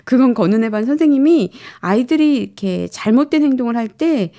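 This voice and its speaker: A woman's voice: a little high, lively intonation, brisk, mellow. A woman storyteller presenting in a polite, explanatory style. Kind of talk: book introduction in polite presentation.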